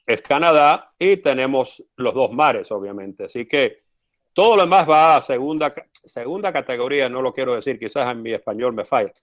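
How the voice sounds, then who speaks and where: Interview guest, male, second-language speaker, steady explanatory delivery with hesitations. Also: mid-range voice. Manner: measured, frequent "eh" fillers, explanatory. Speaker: interview guest, former US policy adviser. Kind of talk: conversational interview answer.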